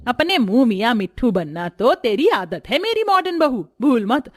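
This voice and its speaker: Cartoon matriarch voiced by a woman: middle-aged mother-in-law, bossy, brisk, close dry recording. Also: on the high side, very animated, no echo. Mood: teasing scolding.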